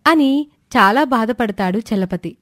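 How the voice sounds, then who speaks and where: A woman's voice: fairly high pitch, expressive, unhurried, fairly bright tone, no echo, clean studio sound. A woman narrator reading a scripted moral story between the characters' dialogue.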